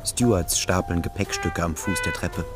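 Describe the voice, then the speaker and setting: A man with a low voice narrates a literary radio drama. He reads scripted descriptive prose, with music clearly playing behind him. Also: moderate pace.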